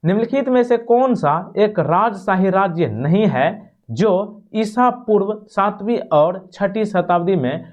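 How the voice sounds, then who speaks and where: Higher-pitched male quiz teacher. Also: expressive, takes it slowly. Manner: instructive, repeats key points, frequent check-in tags. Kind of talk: exam-prep quiz lesson, reading questions and giving answers. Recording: dull, muffled lo-fi microphone.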